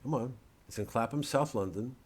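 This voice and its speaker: A man's voice: mid-range voice, fairly quick, slightly rough. A male comedian casually promoting a live show in a rambling, digressive way, with a wry, mock-earnest delivery.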